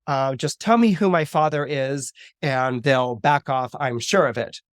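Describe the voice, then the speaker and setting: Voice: mid-range voice, a little slow, dry recording, studio quality, close to an everyday voice. A storyteller voicing an indignant, self-important teenage boy with earnest, stammering protests in a comic myth retelling. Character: indignant teenage boy, a gallant, whiny demigod son.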